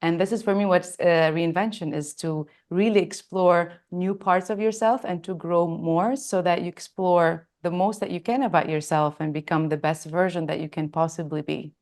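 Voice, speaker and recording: A woman's voice: on the low side, steady tone, normal speed, few pauses, a little mellow. A woman from Lebanon, in her thirties or forties, telling her own story earnestly and emphatically as an interview guest. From Lebanon, born in Australia. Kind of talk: reflective personal storytelling in an interview.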